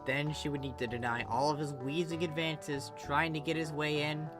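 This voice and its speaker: An expressive reader narrates a scripted story in a middle-pitched voice. Music plays clearly behind the words throughout. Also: moderate pace. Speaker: fan fiction reader.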